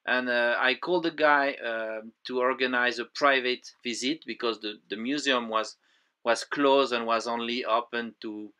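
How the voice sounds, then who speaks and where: A man with a French accent, not speaking his native language, narrating a voice-over for his toy collection vlog with many pauses and fillers. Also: medium pitch, bright, forceful tone. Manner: halting, many fillers and restarts. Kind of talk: voice-over narration of a museum visit. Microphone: voice-over, close mic.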